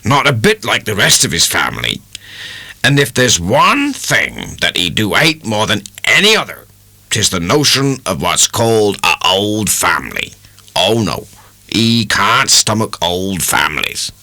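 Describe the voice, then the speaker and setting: A man's voice: lowish voice, big pitch swings, unhurried, pushed and bright, no room sound, full character voice. A man voicing a blunt, opinionated dairyman in an audiobook, with an emphatic manner and a rural English accent. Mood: emphatic, disapproving.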